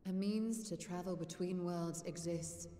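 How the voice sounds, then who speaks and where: An actress playing a proud, determined and wry scholar-sorceress in a fantasy game, speaking with composed, formal, old-fashioned phrasing. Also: female voice, lowish voice, steady tone, a little slow, mellow, roomy sound, in a large hall, soft background music, natural voice. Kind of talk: scripted fantasy game voice acting.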